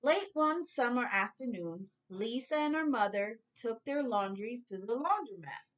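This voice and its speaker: A woman teacher reading a storybook aloud to young children in a deliberate, instructive way. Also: fairly high pitch, expressive, fairly bright tone, highs cut off.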